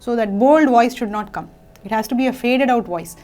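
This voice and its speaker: High, mellow-voiced woman teaching singing. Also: expressive, quick pace, faint music behind. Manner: gentle, patient explanation with sung examples. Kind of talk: singing lesson, explaining and demonstrating phrases.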